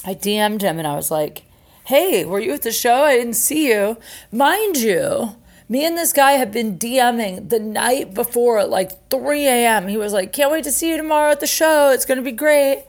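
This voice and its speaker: A woman's voice: a little high, animated, moderate pace. A woman podcast host, likely in her thirties, talking in a candid, chatty, self-mocking way and peppering her speech with "like" and "um.". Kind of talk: casual storytelling monologue with ad reads.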